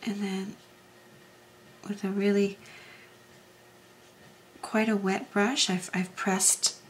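A woman hosting a craft tutorial, talking through each step in a soft, unhurried way, often dropping to a half whisper, her voice a little hoarse from allergies. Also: mid-range voice, level tone, a little hiss or hum. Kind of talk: casual step-by-step demonstration.

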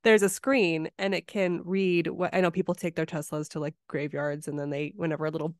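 Lowish-voiced woman, dry close sound. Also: fairly even intonation, fairly quick, no echo. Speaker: podcast host. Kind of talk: casual conversational storytelling.

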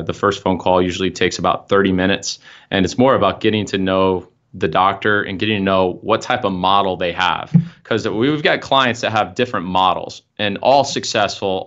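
A young man speaks from an office over a video call, his voice very low and the audio a bit dull and lo-fi. He explains things in a salesy, step-by-step way, sprinkled with uhs and ums. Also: steady tone, moderate pace, fairly bright tone. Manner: explanatory, pitch-like with fillers. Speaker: marketing consultant guest. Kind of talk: interview answers. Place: office room.

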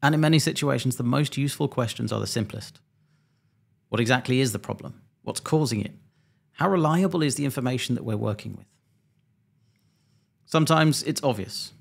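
A man around forty, an airline pilot, presenting a scripted explainer in a measured, methodical, slightly dry way, close to a desk microphone. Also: medium pitch, expressive, gravelly. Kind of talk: scripted explainer narration to camera. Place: small home studio indoors.

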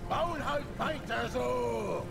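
An actor voicing an aggressive Uruk orc warrior in a video game, barking short battle commands with a gravelly voice. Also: male voice, extremely high pitch, takes it slowly, heavily acted. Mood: aggressive, commanding. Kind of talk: video game unit barks. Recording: loud battle music behind.

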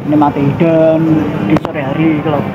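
A man's voice: a little high, flat delivery, fairly quick. A young man, chatting loosely in a casual vlog filmed on a phone at an outdoor cafe terrace. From Indonesia. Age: young adult. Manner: loose, rambling, mixes in local slang. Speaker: vlogger. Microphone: handheld phone camera held close. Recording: muffled phone audio, loud outdoor traffic.

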